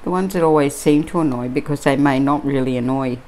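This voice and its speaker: Low-voiced Australian woman chatting idly. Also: a little fast, dark tone. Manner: chatty, musing, many ums. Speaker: crafting vlogger. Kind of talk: casual chat while crafting. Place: indoors at a craft table.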